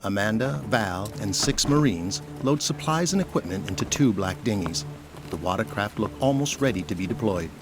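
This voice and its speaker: A man's voice: lowish voice, lively intonation, moderate pace, noisy background. A man reading scripted narration for an audio drama.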